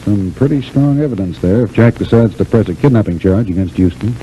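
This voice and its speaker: An actor playing a calm, protective family friend in an old-time radio serial, reassuring and matter-of-fact. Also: male voice, fairly low pitch, fairly quick, soft background music, lightly acted. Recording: muffled, hissy old radio recording.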